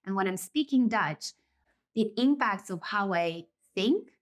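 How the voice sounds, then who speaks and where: Woman, native Russian speaker with a Russian accent, solo podcast host, measured and reflective, mid-range voice. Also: medium pitch, expressive. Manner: thoughtful, measured, self-correcting.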